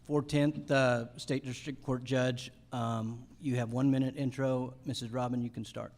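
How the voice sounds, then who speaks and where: A man's voice: medium pitch, flat delivery, a little slow, darkish tone. A man moderating a candidate forum in a formal style. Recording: faint ambient room noise.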